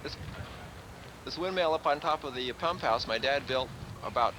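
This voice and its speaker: A man of average pitch narrates as he films his family outdoors and asks relatives questions. The camcorder picks him up with steady background noise. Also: normal speed. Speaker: family member filming a home video. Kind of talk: casual chat behind a camcorder. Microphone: camcorder mic.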